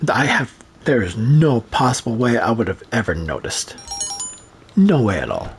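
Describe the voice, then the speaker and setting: A man's voice: medium pitch, sing-song, normal speed, a little hiss or hum. A man rambling through casual gameplay commentary, sarcastic and profane, with a voice that is starting to go. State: losing his voice. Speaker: gaming streamer.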